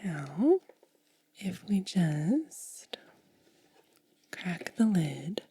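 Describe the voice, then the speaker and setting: A woman hosting ASMR, speaking softly in a breathy, hushed whisper and lingering over short phrases. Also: lowish voice, sing-song, drawn-out words, no room sound. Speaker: ASMR video host. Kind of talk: soft-spoken ASMR.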